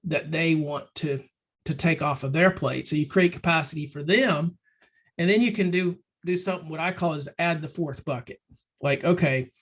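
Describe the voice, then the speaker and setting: Casting note: man with a raised pitch for his sex, slightly hoarse, chatty and rambling webinar presenter. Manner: loose and conversational, many ums and restarts. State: slightly hoarse, clearing throat. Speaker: webinar presenter for a firm advisory program. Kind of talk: casual webinar talk.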